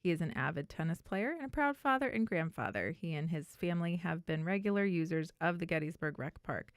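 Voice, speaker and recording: A woman's voice: on the low side, animated, a little fast, dry recording, studio quality. An American woman co-hosting a talk show, chatting casually and reading out local news items.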